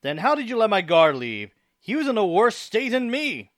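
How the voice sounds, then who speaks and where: A man voicing an excitable male doctor stuck in a hospital bed, in a fan audio drama. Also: high voice, normal speed, dry recording, clean studio sound.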